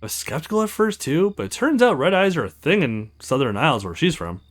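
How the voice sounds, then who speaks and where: Man voicing Orion, an earnest hero with lively pitch. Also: fairly quick, faint music behind. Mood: feigned outrage. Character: earnest young male hero, Orion, protective.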